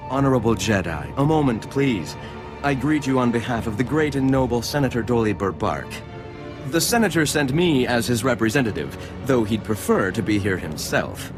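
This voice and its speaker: A man's voice: mid-range voice, expressive, moderate pace, music in the background, close to an everyday voice. A man playing a fawning, eager senator's aide in a video game, speaking in a formal, gushing way. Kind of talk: video game dialogue acting.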